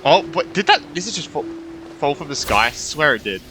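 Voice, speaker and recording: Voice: medium pitch, very animated, brisk. A gaming streamer giving casual, joking commentary on a playthrough, swearing and thinking aloud, with game music behind.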